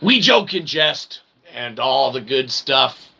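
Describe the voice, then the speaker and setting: A man's voice: mid-range voice, big pitch swings, slow pace, vocal fry, bright, forceful tone, breathy edge. A man hosting a show in a rambling, jokey, theatrical way with mock-formal wordy phrasing. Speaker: show host and author. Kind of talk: rambling show sign-off addressed to audience.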